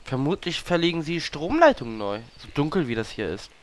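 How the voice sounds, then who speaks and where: A man playing a sardonic, smooth-voiced tauren. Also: fairly high pitch, big pitch swings, fairly quick. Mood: sarcastic. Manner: dry, sarcastic remarks.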